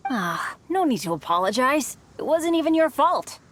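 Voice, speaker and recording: A woman voicing an earnest, excitable, boyish teenage adventurer in a video game. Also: sits high, moderate pace, a little hiss or hum. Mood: reassuring. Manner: boyish, excitable, dramatic storytelling. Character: earnest, eager, unlucky teenage boy adventurer.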